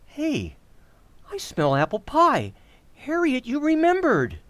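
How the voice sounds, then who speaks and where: A man acting a bumbling, forgetful, excitable husband and father in an old-time radio comedy, with a flustered, stammering delivery. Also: very high-pitched, slow pace, exaggerated voice. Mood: excited, flustered. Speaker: amateur actor.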